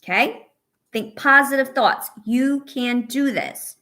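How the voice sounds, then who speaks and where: Woman, fairly high voice, teacher, children's story read-aloud, encouraging asides, questions to the listener. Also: slow, sharp tone. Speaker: teacher reading a picture book to children.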